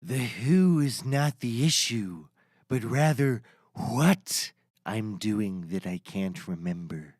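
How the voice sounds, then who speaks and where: A man's voice: lowish voice, expressive, slow, a little mellow, no room sound, clean studio sound. A man playing a grave, troubled mad scientist in a scripted comedy, formal and solemn. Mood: worried, grave.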